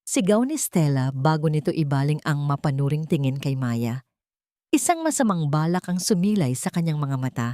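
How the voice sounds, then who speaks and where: A woman's voice: low-pitched, sing-song, moderate pace, dark tone, no room sound, clean studio sound. A woman narrating a dramatic scripted audio story as a storyteller.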